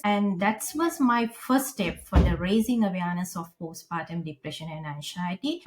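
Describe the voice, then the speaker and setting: Earnest Sri Lankan woman. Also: mid-range voice. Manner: earnest, halting, many fillers and restarts. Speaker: podcast guest. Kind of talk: personal story in an interview.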